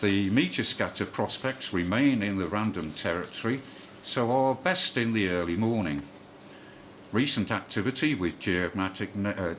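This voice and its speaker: A man's voice: fairly low pitch, a little hiss or hum. A man reading a scripted amateur radio news bulletin in a formal read-aloud delivery.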